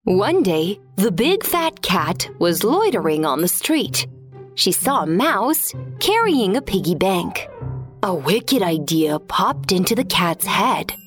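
A woman's voice: medium pitch, sing-song, takes it slowly, soft background music. A woman narrating a scripted children's story as a storyteller.

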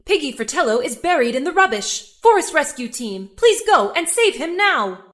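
A woman's voice: sits high, sing-song, moderate pace, a bit bright. A woman voicing an alarmed cuckoo bird calling out urgently in a children's story. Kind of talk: children's animated story acting.

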